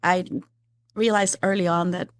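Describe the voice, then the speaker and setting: A woman in her forties, originally from Ecuador, talks as a podcast guest in a relaxed, conversational way. Her voice sits fairly low for a woman. She laughs easily and fills her sentences with little "um"s and "uh"s. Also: moderate pace. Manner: laughs easily, chatty with frequent fillers. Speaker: guest, philanthropy executive. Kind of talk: casual interview conversation.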